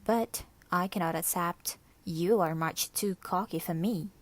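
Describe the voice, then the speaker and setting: A woman's voice: lowish voice, a little slow, close to an everyday voice. A woman voicing a dainty young female cockroach in a storybook, polite and a little hesitant. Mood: cool, polite.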